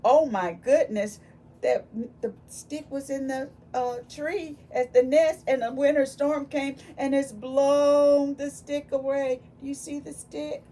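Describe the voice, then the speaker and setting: A woman reading a picture book aloud to young children, expressive and full of wonder, pausing to ask them questions. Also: sits high. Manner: animated, exclaiming with gasps and wonder, addressing young children. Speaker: volunteer children's read-aloud storyteller.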